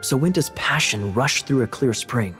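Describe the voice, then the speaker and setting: A man's voice: medium pitch, lively intonation, normal speed, fairly bright tone, faint music behind, natural voice. A man voicing a sly, charming young knight captain in a game, wry and teasing as he works through a riddle. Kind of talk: game dialogue.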